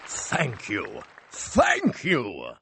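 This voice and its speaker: A man voicing a showy male stage magician with a grand, drawn-out showman delivery. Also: medium pitch, huge pitch swings, crowd noise, noisy background, pitched above the performer's own voice, heavily acted. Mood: smug.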